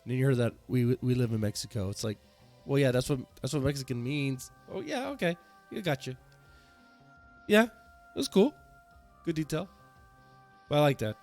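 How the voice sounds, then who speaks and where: A man's voice: mid-range voice, animated, moderate pace, long pauses, a little mellow, soft background music. A man hosting a reaction video, rambling casually with many fillers and restarts.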